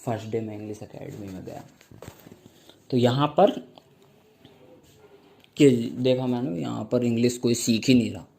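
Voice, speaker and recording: A young man performing a stand-up comedy set, telling stories with slow mock-grand stretches and playful sound effects. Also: medium pitch, gravelly, dark tone.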